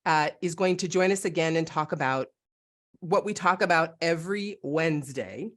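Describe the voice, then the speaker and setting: A woman's voice: deep voice, normal speed. A woman from Northern California hosting a podcast from her home, chatting with enthusiasm. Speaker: podcast host. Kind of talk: casual interview chat.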